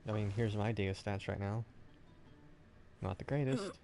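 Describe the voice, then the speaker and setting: A man talking casually and offhandedly while playing a video game, rambling with hesitant pauses. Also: on the low side, vocal fry, darkish tone, soft background music. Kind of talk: casual gameplay chat.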